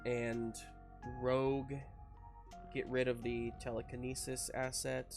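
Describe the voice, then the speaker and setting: A man acts as game master for a tabletop roleplaying game, with faint music behind him. His voice sits at a middling pitch. He improvises his narration informally, feeling his way haltingly through each explanation. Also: little pitch movement, gravelly.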